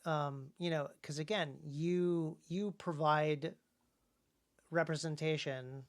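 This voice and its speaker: An American man hosts a conversational podcast discussion as an author. He thinks aloud, halting and then leaning hard on key words. His delivery is informal throughout. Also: fairly high pitch, fairly even intonation.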